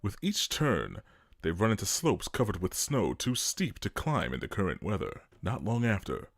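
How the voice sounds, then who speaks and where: A man narrates a dramatic script in a low voice. His intonation moves up and down expressively. The sound is dry, with little room echo. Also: huge pitch swings, normal speed, creaky.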